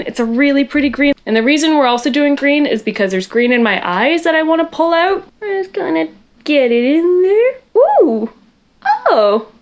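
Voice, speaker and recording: A young woman with a loud voice, fairly high, chatting her way through a makeup tutorial in her bedroom. Her pitch jumps around a lot. She rambles and pokes fun at herself. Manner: chatty, rambling, self-deprecating, talks to viewers while working. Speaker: makeup vlogger.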